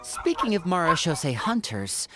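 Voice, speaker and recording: A woman playing a poised, polite young stage magician in a video game, genteel and expressive. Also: fairly low pitch, normal speed, over music. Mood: admiring.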